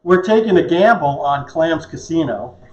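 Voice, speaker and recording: A man's voice: a little high, expressive, moderate pace, darkish tone. A man in his fifties hosting a live cooking demonstration in a casual, conversational, joking way. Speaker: chef and cooking show host. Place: home kitchen, indoors. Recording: echoey kitchen, dull muffled sound.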